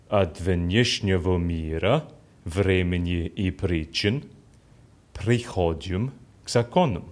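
An actor playing an earnest male prisoner in an audio drama, reading aloud in a deliberate, studious way. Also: low voice, heavily acted.

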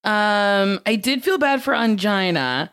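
A woman's voice: mid-range voice, lively intonation, takes it slowly, a bit bright, clear, resonant tone. A young adult woman with a scratchy voice, a podcast guest chatting loosely and jokingly, with some swearing. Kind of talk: casual chat.

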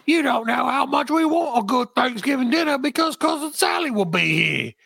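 A man reading a gushing young woman's lines in a high falsetto, sing-song and excited. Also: normal speed, vocal fry, husky, dry recording, full character voice.

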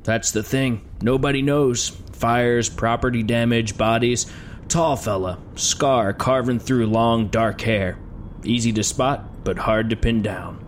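An actor playing a husky older small-town detective in an audio drama, weary and folksy, with colorful colloquial talk. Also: male voice, mid-range voice, fairly even intonation, takes it slowly, slight background noise, natural voice. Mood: weary, chatty.

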